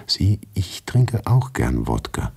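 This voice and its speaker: A man's voice: deep voice, very animated, slow pace, creaky, a little mellow, husky, voice pushed up, full character voice. A man voicing an eager, polite little lady in short, courteous replies.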